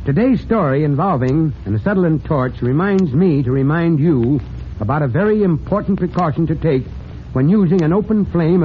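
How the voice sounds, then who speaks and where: An actor playing a steady, brisk, fatherly fire chief in old-time radio drama style, with an authoritative, folksy manner. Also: fairly high pitch, lightly acted. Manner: authoritative, folksy, clipped orders. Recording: dull, noisy old radio recording.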